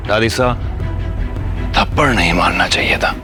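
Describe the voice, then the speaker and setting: An actor playing a poor, humiliated young son-in-law, meek yet proud, in an audio drama. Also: male voice, on the low side, normal speed, over music, close to an everyday voice. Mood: wounded, defiant. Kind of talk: Hindi audio drama acting.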